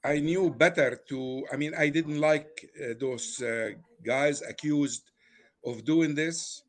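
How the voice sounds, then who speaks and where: Older Arabic-accented man telling his story. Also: a little high. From Lebanon. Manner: halting, many fillers and restarts. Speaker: guest. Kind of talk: casual personal storytelling.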